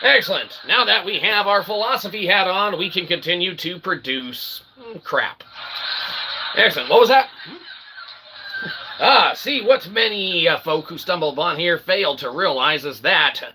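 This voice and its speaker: A man's voice: high voice, lively intonation, moderate pace, bright, forceful tone, a little hiss or hum, rough recording. A man hosting a comic show in a rambling monologue, with a theatrical mock-showman manner and tongue-in-cheek asides. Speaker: host of a comic philosophy show.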